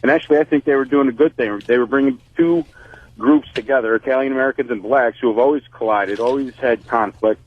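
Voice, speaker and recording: A man's voice: mid-range voice, quick pace, phone-quality sound. A man calling in to a radio show by phone, giving his opinion in a plain-spoken, earnest, conversational way. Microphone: phone call.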